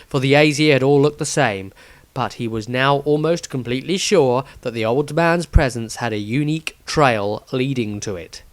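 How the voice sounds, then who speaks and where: A man narrating a scripted fantasy story in a deliberate, expressive storytelling manner. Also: mid-range voice, no echo. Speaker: author reading his own story, narrator.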